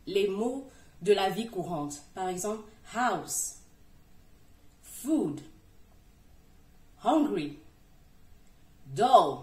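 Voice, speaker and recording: A middle-aged woman speaking straight to her viewers, giving encouraging advice with emphatic swings between loud and soft. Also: medium pitch. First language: French. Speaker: YouTuber giving language-learning tips. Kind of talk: direct-to-camera advice video. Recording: roomy, distant from the mic.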